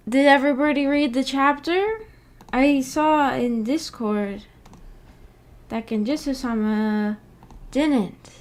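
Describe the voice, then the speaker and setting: A woman hosting a casual book club livestream, chatting with viewers in a hesitant, giggly way and repeating words. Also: high voice. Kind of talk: casual livestream chat, reading and answering chat.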